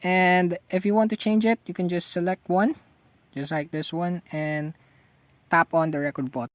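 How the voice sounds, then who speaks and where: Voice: mid-range voice, fairly even intonation, moderate pace, dry recording. A tutorial host narrating step-by-step instructions for using a phone app.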